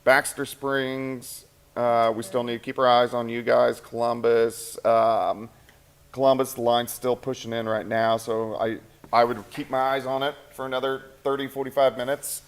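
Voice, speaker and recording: A man's voice: medium pitch, little pitch movement, normal speed, clear, resonant tone. A man doing live late-night weather coverage in a TV studio, talking casually and thinking aloud as he reads viewer comments, tired and hungry. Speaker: meteorologist. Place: TV station weather center. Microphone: shared mic.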